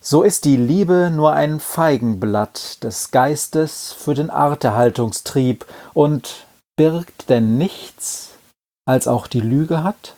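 A man reciting poetry in a measured, declamatory way. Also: fairly high pitch, mellow.

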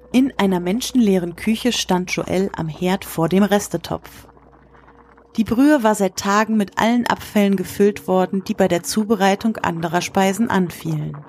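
A woman with a husky voice reads scripted literary narration for an audio drama. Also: medium pitch, darkish tone, slight background noise.

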